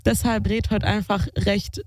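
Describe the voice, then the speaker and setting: A woman's voice: mid-range voice, little pitch movement, a little slow, a little mellow, no echo. A young woman co-hosting a podcast, chatting casually and animatedly with slangy everyday talk. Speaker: podcast co-host. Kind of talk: casual chat.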